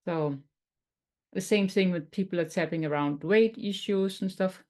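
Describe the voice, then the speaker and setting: A woman from Denmark with a Danish accent, teaching tapping in a webinar in a gentle, explanatory way with some non-native phrasing. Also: on the low side, normal speed. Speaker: tapping coach.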